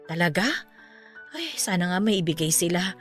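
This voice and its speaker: Practical middle-aged aunt, low voice, calm and matter-of-fact, radio drama, soft music behind. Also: female voice, normal speed. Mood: somber. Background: faint background music.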